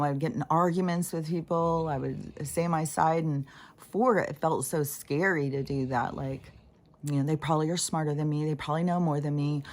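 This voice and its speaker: A woman with a notably low, scratchy voice talks through her spiritual experiences in a loose, unscripted monologue. She drifts off mid-thought, circles back, and swears without a second thought, like a host speaking candidly to her channel. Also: darkish tone. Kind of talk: rambling casual monologue.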